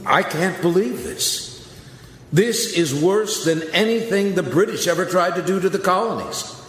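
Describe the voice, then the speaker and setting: A man's voice: high-pitched, lively intonation, measured pace, soft background music. A man playing a shocked, indignant Founding Father statesman in a radio play, speaking formally and oratorically. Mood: appalled. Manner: formal, oratorical, earnest phrasing. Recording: echoey hall-like reverb.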